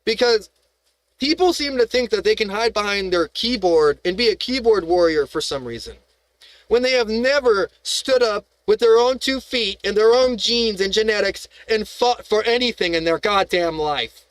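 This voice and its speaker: A man's voice: high voice, expressive, moderate pace, a bit bright, no room sound, low-quality recording. A man hosting a live stream, ranting in a heated, profane, rambling way, full of restarts and rhetorical questions.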